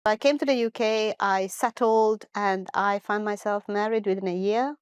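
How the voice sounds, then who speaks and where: A woman's voice: medium pitch, fairly even intonation, normal speed, smooth, no room sound. A middle-aged woman from Hungary, telling her life story as an interview guest in a reflective way, often restarting and correcting herself. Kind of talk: personal life story told in conversation.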